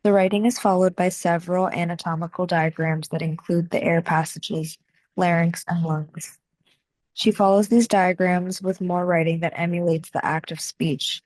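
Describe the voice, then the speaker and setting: Young american woman, lowish voice, slow careful essay voice-over, dry close mic. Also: steady tone, no echo, poor audio. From United States. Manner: measured, soft-spoken reading with deliberate pauses. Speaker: video essay narrator. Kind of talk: scripted reflective narration.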